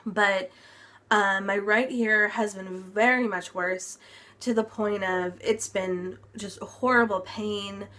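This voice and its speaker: A woman's voice: mid-range voice, fairly even intonation, takes it slowly, a bit bright. A young woman giving a chatty health update vlog, still congested from a cold, with plenty of ums. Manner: chatty, lots of ums, occasional laughs. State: congested, recovering from illness. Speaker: vlogger.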